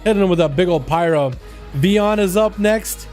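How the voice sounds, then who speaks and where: A young man from Russia with a high yet mellow voice, loosely calling video game duels on a livestream and chatting to viewers as "boys." Faint music plays underneath. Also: moderate pace. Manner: chatty, calls out to chat as "boys". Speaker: livestream host and duel caster. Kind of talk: casual game commentary.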